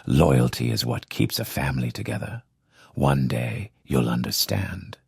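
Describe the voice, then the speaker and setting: Gravelly old mayor, stern. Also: male voice, big pitch swings, no room sound, clean studio sound, full character voice. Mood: solemn. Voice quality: deep gravelly rasp. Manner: slow, solemn lecturing. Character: stern elderly male mayor, proud and dutiful. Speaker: mayor and estranged father.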